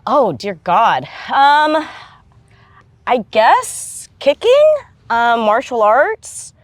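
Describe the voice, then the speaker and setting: A woman's voice: on the high side, sing-song, very slow, no echo. A woman in her thirties whose first language is Vietnamese, a stunt performer and martial artist chatting in a casual interview, jokey and self-deprecating, with lots of fillers and laughs.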